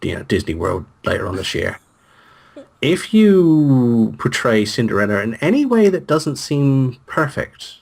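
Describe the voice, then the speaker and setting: A man trades casual banter as a game co-host. He is dry and teasing, with rambling asides and a lively, varied pitch. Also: a little slow, gravelly. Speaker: let's play co-host.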